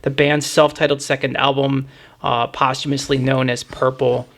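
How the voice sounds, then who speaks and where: A middle-aged man with a mid-pitched voice hosts a casual music discussion. He talks in a reflective, explanatory manner, like someone thinking back over records he knows well. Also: flat delivery, moderate pace, fairly bright tone. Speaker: music podcast host.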